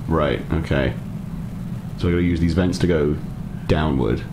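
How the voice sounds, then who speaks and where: A man's voice: very deep voice, moderate pace, noisy background. A man playing a tabletop game in casual improvised chat, hesitant and rambling as he talks.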